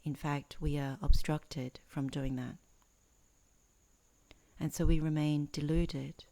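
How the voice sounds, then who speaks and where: A woman teaching in a guided meditation, speaking in measured, earnest phrases with pauses between them. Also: deep voice, steady tone, mellow. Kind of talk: guided meditation introduction, Dhamma talk.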